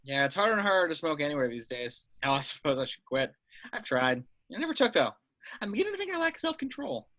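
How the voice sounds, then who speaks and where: Unflappable male veteran agent, acted by a man: quick-fire, animated pitch, offhand attitude. Sci-fi table read. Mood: nonchalant. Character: nonchalant, deadpan male secret agent veteran.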